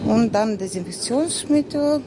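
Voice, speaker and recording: Slow-speaking woman. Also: fairly high pitch, expressive. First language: Romanian. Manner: slow, listing speech. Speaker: cleaner. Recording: field recording with train noise.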